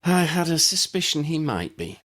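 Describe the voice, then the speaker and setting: A clever, caring woman with a serious manner in a fantasy audiobook, voiced by a man. The pitch is raised and moves expressively. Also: big pitch swings, moderate pace, fairly bright tone. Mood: regretful. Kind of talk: audiobook character dialogue.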